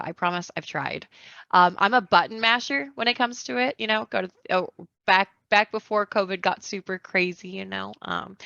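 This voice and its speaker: A woman's voice: mid-range voice, creaky, sharp tone, no echo. A woman guest chatting casually on a podcast, informal, slangy and self-deprecating. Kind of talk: casual pop-culture podcast chat. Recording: dull, lo-fi, dry microphone sound.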